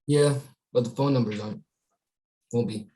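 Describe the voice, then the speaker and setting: A man's voice: medium pitch, moderate pace, warm tone, natural voice. A man playing a shady gang member in a screenplay table read, speaking tersely and informally. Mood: guarded, conspiratorial. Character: shady male gang member tipping off a guard. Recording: remote online read.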